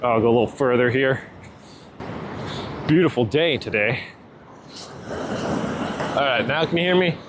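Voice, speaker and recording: A man with a medium-pitched voice talks casually through an unscripted product review. His delivery is loose and informal, with frequent checking questions. He is recorded outdoors, with some faint noise behind him. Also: animated, a little slow, pauses often. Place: outdoors near water, walking.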